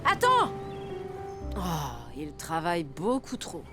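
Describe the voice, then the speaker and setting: A woman voicing a friendly, caring helper in a French-dubbed children's cartoon, expressive and concerned. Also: lowish voice, slow pace, over music, full character voice.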